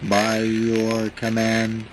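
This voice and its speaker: A man's voice: lowish voice, completely flat delivery, very slow, heavy background noise, pitched below the performer's own voice. A man voicing a menacing, commanding sci-fi robot through a robotic voice changer. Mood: cold, commanding.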